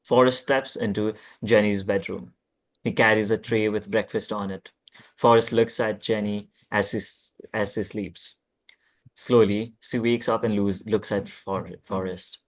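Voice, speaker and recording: A man's voice: on the low side, fairly even intonation, measured pace. A man reading scripted stage directions at a table read, plainly and with occasional stumbles.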